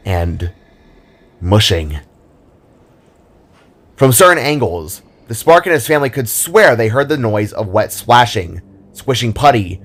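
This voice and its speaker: A man reading scripted fantasy narration in a dramatic storytelling style. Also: mid-range voice. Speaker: author and narrator. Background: music.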